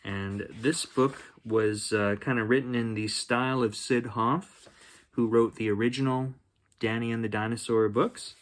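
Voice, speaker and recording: A man hosting a children's bedtime story reading, expressive, with chatty asides spoken straight to young listeners. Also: medium pitch, a little slow. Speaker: children's story reader and host. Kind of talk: bedtime picture book read-aloud with chatty asides.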